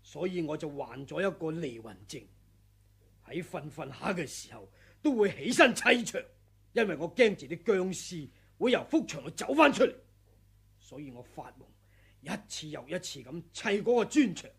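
A harsh, gravelly villain of the sword world in an old radio play. his voice is very raspy and swings widely in pitch as he taunts. he sits rather high for a man. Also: very animated, normal speed, exaggerated voice. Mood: brooding. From Hong Kong. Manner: menacing, taunting, bursts into shouting. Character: ruthless male senior swordsman, gloating and cruel. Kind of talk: 1980s radio drama acting.

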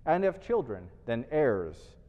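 A middle-aged priest reads scripture with lively, emphatic rises and falls. The recording is slightly dull, and the voice rings with the long reverb of a small church. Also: male voice, medium pitch, slow. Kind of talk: scripture reading. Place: small church chancel, indoors. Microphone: lapel mic, face mask.

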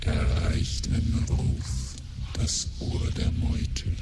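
An actor playing a solemn bard who recites theatrical verse about a digital age. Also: male voice, drawn-out words, heavy background noise.

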